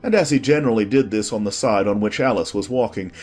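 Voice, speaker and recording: A man's voice: fairly low pitch, fast talker, a little mellow, faint music behind. A man reading scripted storybook narration as the narrator of an audiobook.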